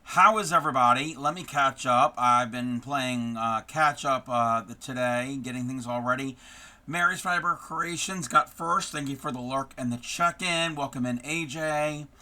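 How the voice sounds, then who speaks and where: A hoarse man who is losing his voice, hosting a casual live stream and chatting warmly with viewers by name, with many ums. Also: medium pitch, moderate pace. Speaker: craft live-streamer host.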